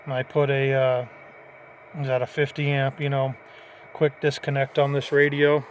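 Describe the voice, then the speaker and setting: A middle-aged man giving a casual hands-on demonstration in a folksy, informal way, thinking out loud as he goes. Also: medium pitch, monotone, normal speed. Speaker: hobbyist vlogger demonstrating a CB radio. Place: in or beside a parked vehicle. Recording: clearly noisy handheld amateur recording.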